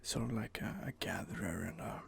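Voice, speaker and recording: A man's voice: fairly low pitch, fairly quick. A man hosting a whispered ASMR let's play, talking hesitantly with many fillers and restarts. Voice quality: whispered, gravelly. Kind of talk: whispered ASMR gameplay commentary.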